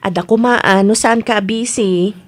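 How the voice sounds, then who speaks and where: A woman with an Ilocano Filipino accent acting a sharp-tongued, bossy shop-owning aunt in a comedy radio drama, emphatic and nosy. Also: mid-range voice, unhurried, lightly acted. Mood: friendly, asking.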